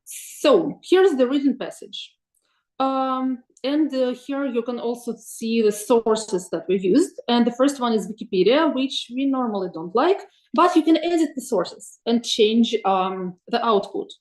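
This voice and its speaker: Woman presenting, slightly high voice. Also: measured pace, darkish tone, echoey room, rough recording. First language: Russian. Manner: thoughtful, many ums and uhs, asks for next slide. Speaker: webinar presenter, teacher. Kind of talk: presenting slides in an online webinar.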